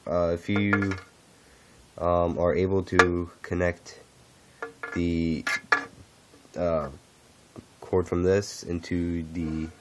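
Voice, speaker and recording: A man who is a little sick, talking through a casual how-to walkthrough in a halting, unscripted way. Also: low voice, background noise. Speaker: tech tutorial host.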